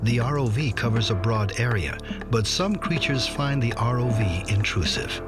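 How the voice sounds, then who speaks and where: Male documentary narrator over music. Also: lowish voice. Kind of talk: scripted narration. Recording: music bed behind voice-over.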